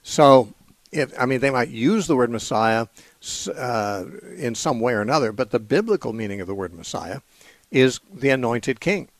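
A man's voice: mid-range voice, lively intonation, moderate pace, dry recording. A man hosting a call-in radio show, answering Bible questions thoughtfully with fillers and repeated words. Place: radio studio.

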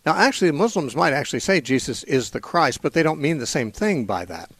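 A man's voice: fairly high pitch, big pitch swings, fairly quick, fairly bright tone. A man hosting a call-in radio show, answering Bible questions thoughtfully with fillers and repeated words. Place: radio studio.

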